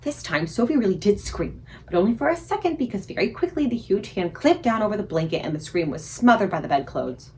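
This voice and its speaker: A woman reading a children's story aloud expressively, with dramatic, suspenseful emphasis and deliberate pauses. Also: medium pitch, big pitch swings, a little mellow, a little hiss or hum. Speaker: librarian reading aloud for a book club.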